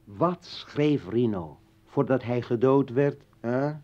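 A man's voice: mid-range voice, slow pace, a little mellow. Bitter revolutionary man, dull vintage recording. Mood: bitter, insistent. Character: bitter, militant male revolutionary and former journalist. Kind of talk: radio play acting.

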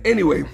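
A man hosting his own podcast in a casual, rambling monologue, his voice raspy, with many restarts that turn emphatic. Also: on the high side, a little mellow. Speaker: podcast host.

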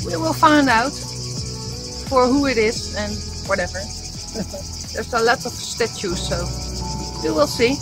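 A woman's voice: a little high, expressive, slow, pauses often, rough recording. A woman, co-hosting a sailing vlog in easygoing casual chat and laughing often. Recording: loud music over the voice.

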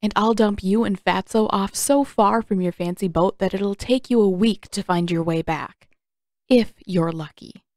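A woman's voice: mid-range voice, moderate pace, darkish tone, no echo, studio quality, natural voice. A woman voicing a sardonic, brash young female bounty hunter, mocking and flippant, in an audiobook. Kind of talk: audiobook character voice.

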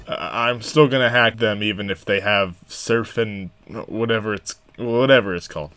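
Casting: voice actor as an annoyed male cartoon snake, soft music under the lines. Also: medium pitch, moderate pace, natural voice. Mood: grumpy. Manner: irritated and flat. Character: grumpy male snake hacker. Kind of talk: fan-dubbed comic skit.

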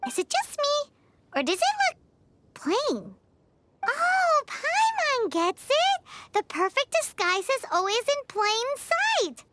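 Very high-pitched bubbly little fairy girl. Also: huge pitch swings, takes it slowly, noisy background, heavily acted. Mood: excited. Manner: bubbly, exclamatory. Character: tiny excitable childlike fairy companion girl, speaks in third person. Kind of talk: video game character acting. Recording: loud game music behind. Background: game music.

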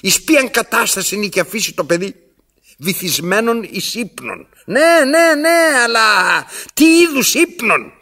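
An actor playing an imperious, pompous old tyrant in a radio play, declaiming with self-interrupting asides in a gravelly voice. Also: male voice, very high voice, sing-song, bright, forceful tone, full character voice. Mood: haughty, declamatory. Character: imperious, theatrical old male tyrant telling his story. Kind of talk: radio theatre acting.

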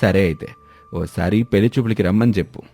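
A man voicing a cautious village father, measured and sober, in an animated moral story. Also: fairly low pitch, faint music behind, voice pushed down.

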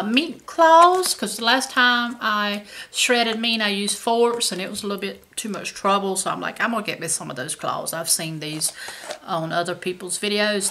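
A woman's voice: medium pitch, creaky, sharp tone, a little hiss or hum. A woman, chatting casually about her shopping haul to a camera at home. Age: 50s. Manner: chatty, folksy, says y'all. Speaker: haul vlogger. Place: home kitchen indoors.

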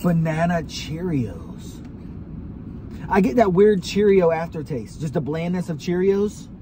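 Young male vlog host, slightly high voice, animated, recorded in a car by a dashboard camera with background noise. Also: a little slow. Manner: chatty, animated food reactions. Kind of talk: casual snack taste test. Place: inside a parked car. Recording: car interior with ambient noise.

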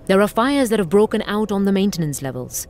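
A woman's voice: medium pitch, very animated, quick pace, a little mellow. A woman playing an evasive, imperious corporate station director in a video game, formal and condescending. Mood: cool, condescending. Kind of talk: video game dramatic acting.

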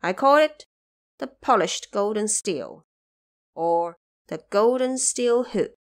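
Woman voicing a slow, boastful old immortal man. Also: mid-range voice, animated. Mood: proud. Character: confident, boastful old male immortal elder.

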